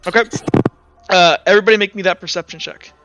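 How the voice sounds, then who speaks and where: A man's voice: sits high, fast talker. A man running a tabletop roleplaying session as game master, chatting casually with the players. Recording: muffled audio with background music.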